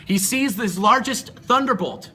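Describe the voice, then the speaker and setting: A man's voice: high-pitched, measured pace, sharp tone, a little hiss or hum. A male student performer telling a myth as a dramatic story in an amateur recording.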